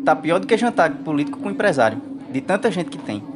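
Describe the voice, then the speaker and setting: A woman's voice: low voice, sing-song, quick pace. A woman playing a cheeky, wry poor trickster in a radio soap opera. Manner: wry, sassy. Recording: noisy crowd ambience behind.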